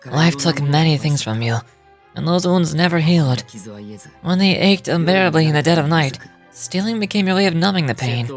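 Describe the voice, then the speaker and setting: A man voicing a theatrical young male stage magician from a game, knowing and cutting, with deliberate, pointed delivery. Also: a little high, soft background music, close to an everyday voice. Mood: accusing, solemn.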